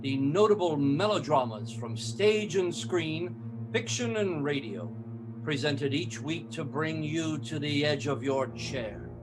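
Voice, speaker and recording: An actor playing a formal 1940s network radio announcer, measured, grave and showy, introducing a suspense series. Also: male voice, on the high side, animated, over music, poor audio.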